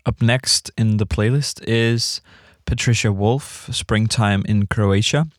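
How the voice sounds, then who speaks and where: A man hosting a music show, casually introducing tracks in a hesitant, halting way. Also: lowish voice, steady tone, no echo, studio quality.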